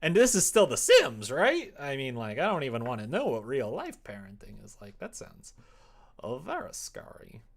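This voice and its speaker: A creaky-voiced livestreamer chatting casually and thinking aloud while coding, rambling, muttering and a little self-deprecating. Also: medium pitch, huge pitch swings, normal speed. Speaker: livestreamer making a game.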